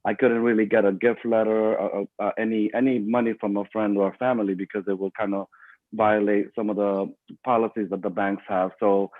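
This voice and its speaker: A man's voice: lowish voice, dry recording. A middle-aged man from the Bronx, speaking as a guest on a video call, talking casually with many fillers and restarts. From Bronx, New York. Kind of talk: casual online talk. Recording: tinny dry phone-like line.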